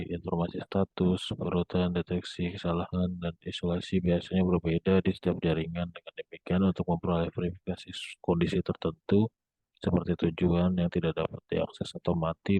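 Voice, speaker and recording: A young man reading a scripted academic presentation as a student, in a monotone with occasional stumbles. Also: sits low, no room sound. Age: young adult. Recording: muffled phone-like narrow band sound.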